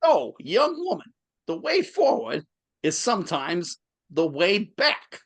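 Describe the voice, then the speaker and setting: A drowsy old sage speaks in slow, gravelly riddles , as a woman plays him, his pitch leaping high and low. The sound is dry and close, from a home mic on a video call. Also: sing-song, dry recording, full character voice. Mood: pompous. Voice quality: creaky, drawn-out delivery. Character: drowsy old male sage, pompous and cryptic. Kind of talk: live dramatic reading over video call.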